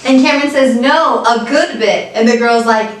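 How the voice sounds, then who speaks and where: A woman's voice: fairly high pitch, normal speed. A young American woman chatting casually as a co-host, telling stories playfully, laughing and acting out what people said. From United States. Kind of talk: casual chat. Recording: echoey room, voice a bit far from the mic, faint hiss.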